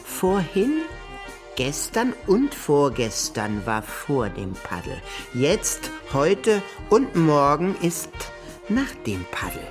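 Slow, low-voiced eccentric gentleman. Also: heavily acted. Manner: musing aloud to self in short fragments. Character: Herr Kuckuck, eccentric solitary gentleman dreaming of seafaring. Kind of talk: children's radio play acting.

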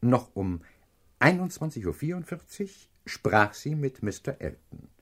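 An actor playing a pompous, self-assured professor detective in a radio crime play, lecturing pedantically with theatrical flourish. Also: male voice, mid-range voice, sing-song, measured pace. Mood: lecturing, triumphant.

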